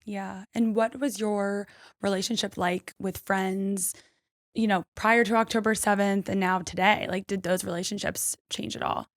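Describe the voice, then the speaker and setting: A woman's voice: mid-range voice, steady tone, normal speed, dry recording, clean studio sound. A woman interviewing a guest in a casual, conversational and supportive way. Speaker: podcast interviewer.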